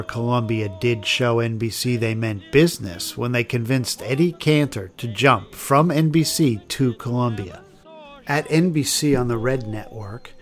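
A man reading scripted narration as the narrator of a history documentary. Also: medium pitch.

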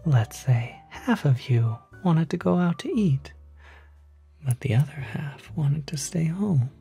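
A man playing a polite, quietly sinister demon concierge in an ASMR roleplay, speaking softly in half-whispers with gentle, hesitant persuasion. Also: a little high, animated, slow pace, soft background music, exaggerated voice. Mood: reassuring. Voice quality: soft, breathy, half-whispered.

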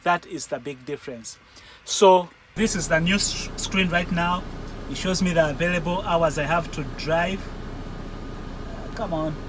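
A man in his forties, a truck driver, thinking aloud as he works through a screen in the cab of his parked truck, hesitant and halting. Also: a little high. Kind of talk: casual vlog walkthrough. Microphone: phone camera held in the cab. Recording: engine and fan noise in a truck cab.

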